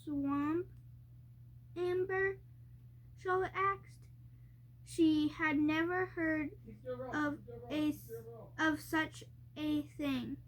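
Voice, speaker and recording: A young child reading a storybook aloud, haltingly and word by word, stumbling over harder words. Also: high-pitched, fairly even intonation, fairly bright tone, rough recording.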